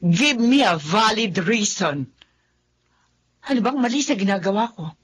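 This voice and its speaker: A woman's voice: medium pitch, animated, a little slow, husky. A woman playing a stubborn mother who defends her love for a younger man, in a radio drama. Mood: defiant. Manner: defiant, stubborn.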